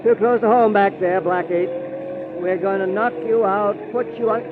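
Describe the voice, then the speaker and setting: Guy acting menacing old radio gangster, brusque, high voice, tinny noisy 40s recording. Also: fairly even intonation, moderate pace, lightly acted. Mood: menacing, alert.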